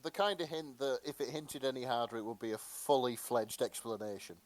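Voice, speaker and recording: A man's voice: mid-range voice, fairly even intonation, fairly quick. A man co-hosting a podcast in casual comedic chat, jokey and sweary. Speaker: podcast co-host.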